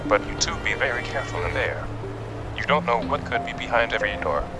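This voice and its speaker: A man's voice: medium pitch, sing-song, fairly quick, music in the background, natural voice. A man playing a brusque, foul-mouthed, hot-tempered police chief giving orders in an audio drama. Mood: stern, wary. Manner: brusque, profane, barking orders.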